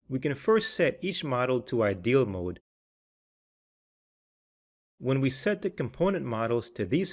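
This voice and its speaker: A man reading a scripted software tutorial in a measured, explanatory way. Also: medium pitch. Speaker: software demo narrator.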